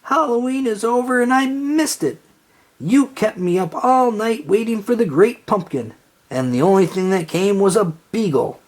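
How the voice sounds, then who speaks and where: A reader doing a feisty, indignant little girl, husky. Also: medium pitch, moderate pace, pitched above the performer's own voice, close to an everyday voice. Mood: outraged. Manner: indignant, emphatic.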